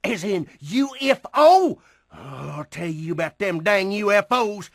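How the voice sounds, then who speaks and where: A cantankerous old cartoon farmer with a rural American accent. He grumbles slowly and suspiciously, his pitch jumping about in a sharp, creaky voice. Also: lively intonation, dry recording, studio quality, exaggerated voice. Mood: irritated. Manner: cranky, folksy grumbling.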